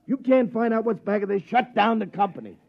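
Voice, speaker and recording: An actor playing an exasperated police sergeant who talks brusquely and mocks, in a 1950s radio drama. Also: male voice, sits high, a little fast, vocal fry, lo-fi sound. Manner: brusque, mocking.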